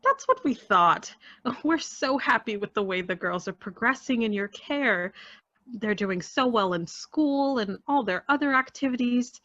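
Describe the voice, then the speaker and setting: A gushing, officious lady visitor in a radio play , acted by a woman. Her voice is fairly high and swoops in a lively way, on a dry, slightly dull recording. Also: measured pace, no echo. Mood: polite, effusive.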